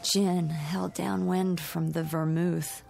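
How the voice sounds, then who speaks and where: An actress playing Millie, a sharp-tongued wife trading teasing retorts in an old radio drama. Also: female voice, on the low side, little pitch movement, slow pace, gravelly, exaggerated voice. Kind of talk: 1950s radio drama acting. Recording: old radio recording with music.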